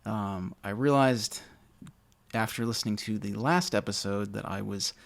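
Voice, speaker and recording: A man with a naturally low voice, casually commentating a video game he loves, a little mumbly and self-deprecating. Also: a little slow, creaky. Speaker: let's play host.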